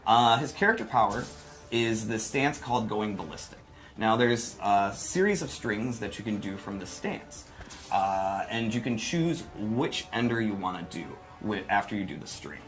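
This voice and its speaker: A man's voice: fairly low pitch, normal speed. A man co-hosting a livestream, casually and playfully talking through a fighting game demonstration. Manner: animated, playful, exclaiming. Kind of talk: casual gameplay demonstration commentary.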